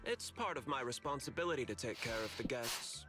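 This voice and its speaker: A man playing a courteous, composed corporate manager in a video game, speaking in polite, measured explanations. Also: medium pitch, expressive, sharp tone, faint music behind. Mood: composed, explanatory.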